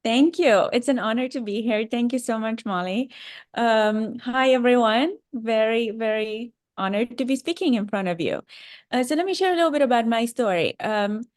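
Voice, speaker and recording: A woman's voice: fairly high pitch, normal speed, dry recording, studio quality. A woman from Romania with a slight Romanian accent, giving a career talk to students and speaking earnestly, with many ums and restarts. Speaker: startup founder and CEO.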